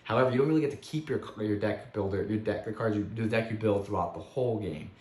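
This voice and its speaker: A man with a voice still hoarse from a cold, casually reviewing a board game at home and rambling with many self-corrections. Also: lowish voice, fast, a little mellow, echoey room.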